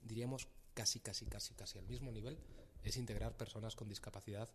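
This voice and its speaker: A middle-aged man with a fairly low voice speaks as a guest in a casual interview. He talks hesitantly, restarting and repeating words as he explains. Faint noise sits under the recording. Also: fairly even intonation, fast. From Castilla-La Mancha, Spain. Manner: hesitant, repeats words often, laughs easily. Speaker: company regional manager, interview guest.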